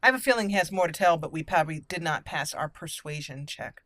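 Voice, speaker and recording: A woman's voice: sits low, lively intonation, fast talker, a bit bright, dry recording, clean studio sound. A woman gaming host giving roleplay commentary, slipping between character lines and casual asides.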